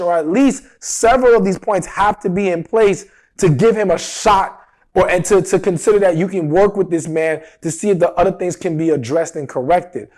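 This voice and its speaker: A man with a fairly high-pitched voice talks straight to his audience like a coach, earnest and emphatic. His voice carries a slight roughness from a cold he is getting over. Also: a little mellow. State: recovering from a cold. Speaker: dating advice host. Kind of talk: direct-to-camera advice talk.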